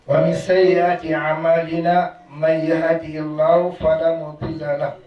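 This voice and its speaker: A fairly high-voiced male preacher whose first language is Arabic recites prayers at a brisk clip, then explains them in slow, halting French. He is heard from across the room, with a roomy echo and a dull, muffled sound. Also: recorded from a distance. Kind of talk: sermon.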